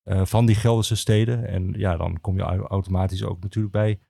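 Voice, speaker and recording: A man explains things as an interview guest. His voice is fairly low and soft-edged, and he talks hesitantly in a measured way, recorded very dry. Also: flat delivery, creaky, no room sound. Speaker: guest, archivist and historian.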